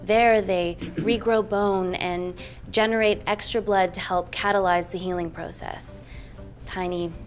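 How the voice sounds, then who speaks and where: An actress playing a poised young doctor presenting formally to investors in a film game. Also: female voice, medium pitch, fairly even intonation, unhurried, close to an everyday voice. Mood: confident, persuasive. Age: young adult. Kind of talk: screen acting, polished corporate presentation. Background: film music.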